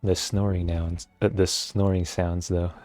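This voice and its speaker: A man giving casual, low-key live commentary while streaming a game. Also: little pitch movement, a little slow, darkish tone, soft background music.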